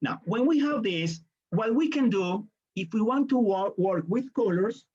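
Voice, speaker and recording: A man's voice: sits high, animated, unhurried, darkish tone, husky, highs cut off. Colombian man leading a teaching workshop. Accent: Colombian Spanish. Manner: explains step by step, briefly voicing students and parents. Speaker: teacher trainer. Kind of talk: online workshop lecture.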